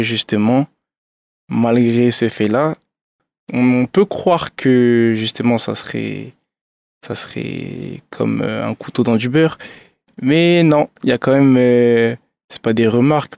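Low gravelly male radio guest. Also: no room sound. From France, Gabonese parents. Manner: reflective, relaxed, with fillers. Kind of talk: radio interview. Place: radio studio.

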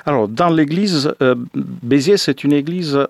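A man's voice: fairly high pitch, measured pace, vocal fry, dry recording. A 57-year-old man from Italy speaking as a pastor in a radio interview, often hesitating as he searches for words.

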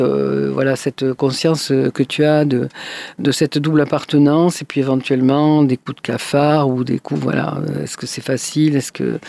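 Gravelly radio host, dry studio sound. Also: male voice, fairly high pitch, dark tone, no echo. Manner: hesitant, many fillers and restarts. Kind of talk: radio interview.

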